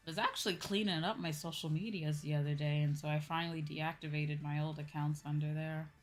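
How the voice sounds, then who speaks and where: A woman's voice: deep voice, fairly even intonation, fairly quick. A young adult woman chatting casually on a livestream, musing and hesitant as she answers chat, her voice a bit sore and tired from a day of talking. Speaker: VTuber streamer. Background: background music.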